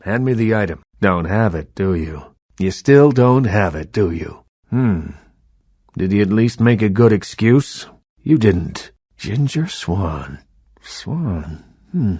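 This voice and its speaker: An actor playing an urbane, sardonic vampire baron in a video game, who talks in a quietly threatening way. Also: male voice, lowish voice, no echo, clean studio sound. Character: old vampire baron, suave, menacing film buff.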